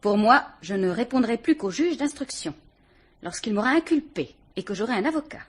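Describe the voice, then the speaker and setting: A woman's voice: fairly high pitch, lively intonation, moderate pace, husky. A woman playing a cool, defiant murder suspect, speaking in an icy, haughty, sarcastic manner in a vintage radio drama.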